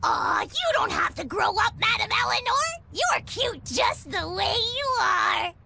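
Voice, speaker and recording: An actor voicing a small, cutesy, cheeky creature in an anime-style video game, with a squeaky, scratchy, gushing delivery. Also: very animated, measured pace, gravelly, pushed and bright, heavily acted.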